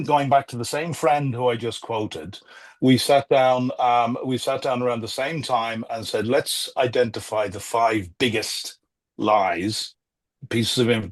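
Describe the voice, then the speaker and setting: Husky middle-aged man on a video call. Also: medium pitch. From Ireland or Britain. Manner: measured, many fillers and restarts. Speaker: guest, former diplomat. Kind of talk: remote interview, political commentary.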